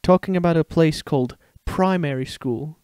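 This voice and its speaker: A man's voice: a little high, moderate pace, darkish tone, smooth, dry recording, clean studio sound, close to an everyday voice. A man voicing a playful, protective young father in a dramatic story reading. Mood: reading aloud. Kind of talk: dramatic fan fiction reading.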